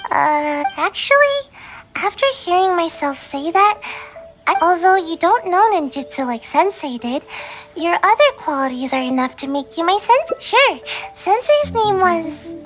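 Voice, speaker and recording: A woman's voice: very high voice, big pitch swings, a little slow, fairly bright tone, faint music behind, full character voice. A woman playing a small, drowsy young ninja trainee in a video game, childlike and earnest, a little lazy. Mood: wistful. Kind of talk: video game character dialogue.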